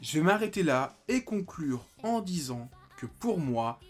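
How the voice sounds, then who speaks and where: A man in his late twenties reviewing a manga in a casual video, talking animatedly and breaking into excited exclamations. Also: on the high side, unhurried, soft background music. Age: 20s.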